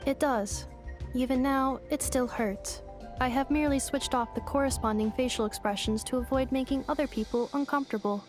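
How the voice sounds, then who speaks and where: A calm female android speaks in a flat, formal, carefully precise way, in a slightly raised voice, as a woman plays her. Every word is measured and literal. Faint game music sits behind her. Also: high voice, lightly acted. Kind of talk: game voice acting.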